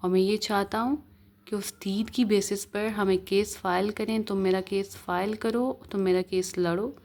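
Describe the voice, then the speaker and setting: A woman's voice: medium pitch, a little mellow. Pakistani-accented woman teaching law. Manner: didactic, repeatedly checking understanding with short tags. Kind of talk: explanatory lecture, reading statutes aloud and explaining them.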